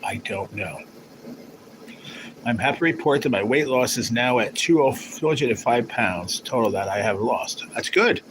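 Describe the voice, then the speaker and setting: A man's voice: medium pitch, animated, moderate pace. Rambling man on a noisy livestream. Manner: rambling, chatty, teasing. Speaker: livestream host. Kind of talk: casual livestream chat reading viewer comments.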